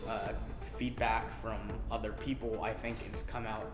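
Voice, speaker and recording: A man's voice: on the low side, little pitch movement, a little slow. Man interviewed in an echoey room. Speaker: play director and writer. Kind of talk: interview answers. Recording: echoey room, music behind.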